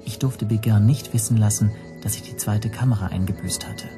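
A man's voice: on the low side, brisk, music in the background, natural voice. An actor playing a stateless Hungarian teacher who recounts his ordeal reflectively in a scripted radio drama. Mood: brooding. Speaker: first-person narrator.

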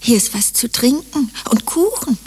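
Eager little girl in radio play, highish voice, noise behind. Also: big pitch swings, normal speed. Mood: eager, hushed. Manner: eager, childlike. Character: eager, devoted young schoolgirl daughter.

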